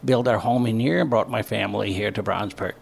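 A man's voice: on the low side, a little fast, gravelly, breathy edge. An older man from Greece with a Greek accent, answering earnestly as an interview guest. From northern Greece. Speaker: interview guest, community club member.

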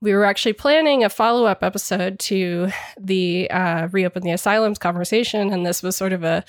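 A woman's voice: medium pitch, brisk, dry recording. Congested woman hosting a podcast, dry sound. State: slightly congested from a cold. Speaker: podcast host. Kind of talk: scripted podcast intro and discussion.

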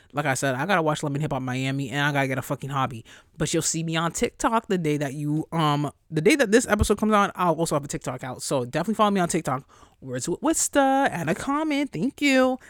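A young woman hosting a solo podcast in a casual, chatty way, blunt and profane, her voice jumping high when she gets excited. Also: no echo, studio quality. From New York area. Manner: profane, blunt asides to listeners. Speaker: podcast host.

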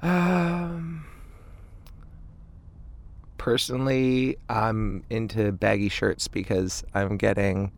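A man's voice: on the low side, fairly even intonation, extremely slow, creaky, long pauses. A man, an indie band frontman, chatting casually as an interview guest in a hesitant, meandering way. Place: inside a parked tour van.

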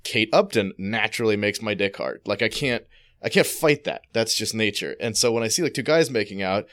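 A man's voice: fairly low pitch, animated, fairly quick, a bit bright. A man co-hosting a podcast in casual chat, frank and crude, rambling with frequent restarts. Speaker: podcast co-host.

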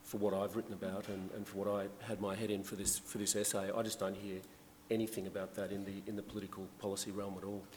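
Male panelist, lowish voice, relaxed and chatty, hall reverb with faint noise. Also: fast talker, few pauses. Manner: relaxed, conversational, frequent fillers. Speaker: journalist and essayist on panel. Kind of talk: panel discussion.

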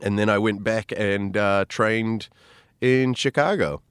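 Kiwi comedian guy rambling on a podcast, lowish voice, close dry mic. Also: steady tone, slow pace, fairly bright tone, smooth, dry recording. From New Zealand. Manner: rambling, self-deprecating, lots of fillers. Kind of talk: casual interview chat.

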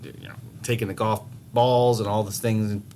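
A man co-hosting a podcast in casual chat, rambling with many restarts, swearing freely and getting animated as he tells stories. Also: on the low side, normal speed, slight background noise. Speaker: podcast co-host.

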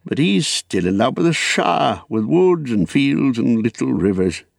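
A man's voice: mid-range voice, big pitch swings, a little slow, gravelly, no room sound, clean studio sound, pitched above the performer's own voice, heavily acted. A man voicing an old hobbit in a storybook reading, fretful, wistful and stubbornly possessive, with an emphatic, rambling, hesitant manner.

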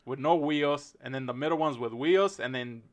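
A soft-spoken man chats casually, his voice at a middle pitch and smooth and clear. He talks in a relaxed way and drops in slang and swearing. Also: normal speed. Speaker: podcast co-host, tech worker. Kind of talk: casual chat.

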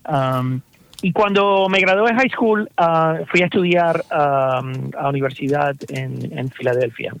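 A man's voice: fairly high pitch, measured pace, vocal fry, dry recording, rough recording. A Puerto Rican man, a startup founder, answering interview questions thoughtfully, with many fillers and repeated words. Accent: Puerto Rican Spanish. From Puerto Rico.

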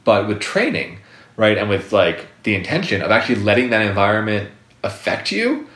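A man's voice: lowish voice, very animated, normal speed, a bit bright, echoey room. A man talking casually as a guest psychotherapist, rambling with lots of fillers and restarts. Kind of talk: casual interview talk.

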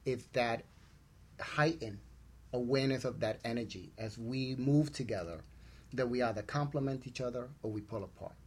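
A man co-hosting a talk show, speaking reflectively in short, halting phrases with pauses between them. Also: medium pitch, a little mellow, slightly rough.

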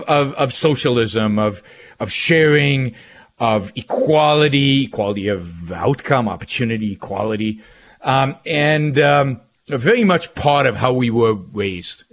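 A middle-aged man with an Israeli accent, talking off the cuff as a radio host in an emphatic way, often repeating phrases. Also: fairly high pitch. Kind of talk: talk radio monologue.

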